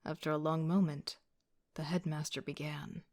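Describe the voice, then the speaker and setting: A woman's voice: low-pitched, level tone, normal speed, a little mellow. A woman playing a wry, casual young student team leader who narrates an audio drama in the first person with sardonic asides. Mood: wry, observant. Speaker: voice actor.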